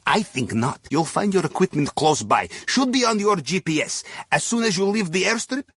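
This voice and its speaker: A man's voice: high voice, very animated, normal speed, fairly bright tone, slightly rough, no room sound, studio quality, full character voice. A man playing a wry, upbeat Russian submarine captain guiding a heist crew in a video game, with a Russian accent and clipped English. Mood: wry, briefing. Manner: wry, playful, theatrical, with clipped non-native English. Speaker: mission guide over comms.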